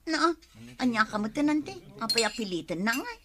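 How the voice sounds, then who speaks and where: Touchy unmarried woman, Ilocano accent, radio drama, very animated, bright, exclamatory. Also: fairly high pitch, moderate pace. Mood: exasperated. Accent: Filipino, Ilocano. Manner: exclamatory, exasperated. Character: touchy single woman fending off marriage nagging.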